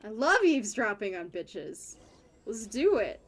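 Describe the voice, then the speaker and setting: A woman's voice: on the high side, big pitch swings, unhurried. A woman streamer giving casual, sarcastic, sweary commentary while playing a game.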